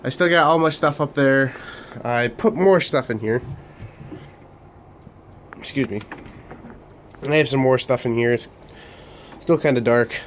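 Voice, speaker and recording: A young man hosting a casual room tour vlog of his bedroom studio, talking haltingly with many fillers and restarts while coming down with a cold. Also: mid-range voice, a little hiss or hum. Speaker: Lego channel host.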